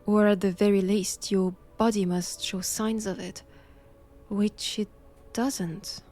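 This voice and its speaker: A woman with a French accent playing a cold, haughty nun in an intimate roleplay audio drama, speaking deliberately and often dropping toward a whisper. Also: mid-range voice, steady tone, dark tone, faint music behind, close to an everyday voice. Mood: clinical, commanding. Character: cold, imperious nun examining a captive vampire.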